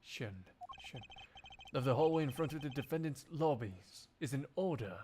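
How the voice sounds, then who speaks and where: A man voicing a cool, stern investigator in a measured, formal way for a game fandub. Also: mid-range voice, expressive, mellow, slight background noise, natural voice. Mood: pensive. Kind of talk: video game fandub acting.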